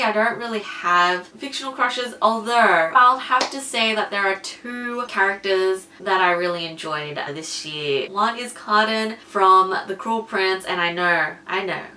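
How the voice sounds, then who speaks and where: A woman's voice: medium pitch, sharp tone. A woman book vlogger chatting casually to camera in a self-conscious, slightly giggly way. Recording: roomy echo, distant from mic.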